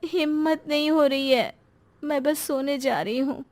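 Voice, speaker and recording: Woman as a meek high-voiced housewife mother. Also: a little slow, a little mellow. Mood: weary. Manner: apologetic, hesitant. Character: meek, long-suffering middle-aged mother and housewife.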